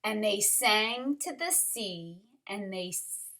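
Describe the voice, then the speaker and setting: A woman reading a picture book aloud to young children, expressive and dramatic, speaking like a teacher who pauses to ask questions. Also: mid-range voice. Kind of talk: animated storybook read-aloud for young children.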